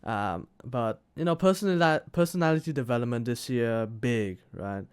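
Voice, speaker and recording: A young man from Thailand, speaking English as a second language, chatting haltingly with restarts and "hella" slang. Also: mid-range voice, clean tone. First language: Thai. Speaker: podcast co-host. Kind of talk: casual chat.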